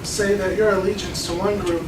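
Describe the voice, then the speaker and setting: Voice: mid-range voice, level tone, normal speed. A graduate student asking a question at a lecture, hesitant and searching for words. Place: lecture room. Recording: echoey room, far mic, loud noise.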